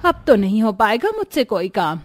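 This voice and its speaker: A woman's voice: mid-range voice, sing-song, moderate pace, lightly acted. A woman voicing a greedy middle-aged mother-in-law in a moral cartoon story, with a melodramatic delivery. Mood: weary.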